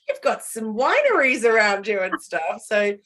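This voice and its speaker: A woman's voice: on the high side, sing-song, normal speed, a bit bright, slight background noise. Chatty Kiwi woman hosting a podcast. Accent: New Zealand. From New Zealand. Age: 40s. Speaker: podcast host. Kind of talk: casual video-call interview. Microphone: video call.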